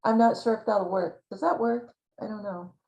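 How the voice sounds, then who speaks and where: A woman hosting a casual video call chat, warm, encouraging and chatty with her guest. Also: medium pitch, steady tone, moderate pace. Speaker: host.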